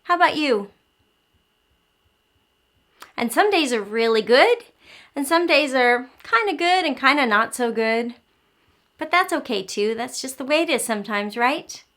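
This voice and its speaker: A woman hosting a read-aloud for young children, animated and encouraging, explaining simply and asking the listener questions. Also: high-pitched, a little slow, long pauses. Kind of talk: children's storytime, speaking directly to young listeners.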